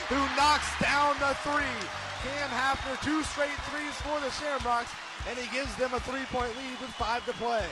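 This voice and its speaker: A young American man calling live sports play-by-play for radio in an excited, emphatic manner. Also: very high voice. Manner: excited, emphatic, rising to shouts on big plays.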